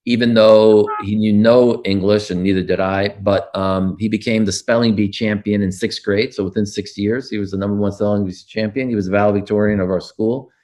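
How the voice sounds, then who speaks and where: Older New man, low voice. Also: fairly even intonation, fairly quick, few pauses, a little mellow. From United States. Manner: reflective storytelling with frequent fillers and restarts. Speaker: interviewee. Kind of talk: oral history interview.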